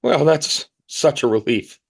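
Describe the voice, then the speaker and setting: A man's voice: fairly high pitch, animated, a little slow, creaky, exaggerated voice. A man playing a polite, earnest, inquisitive interviewer in an audio play, hesitant and prone to stammering. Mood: apologetic.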